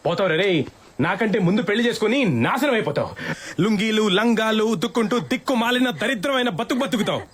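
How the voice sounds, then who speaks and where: An actor playing an aggrieved, whiny young groom, indignant and melodramatic, in comedy film dialogue. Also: male voice, high voice, animated, quick pace. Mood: indignant, cursing. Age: young adult.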